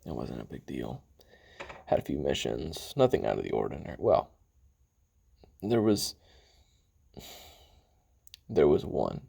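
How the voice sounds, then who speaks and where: Brooding assassin for a confessional audio drama, male actor: rock-bottom male pitch, heavy vocal fry, halting and profane delivery. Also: very animated, mellow, exaggerated voice. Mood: casual recollection. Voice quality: very deep, gravelly, husky. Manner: halting, casual, profane, with sighs and trailing pauses. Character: brooding, hot-tempered male assassin recording his memoirs. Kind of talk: audio roleplay monologue, confessional reminiscing.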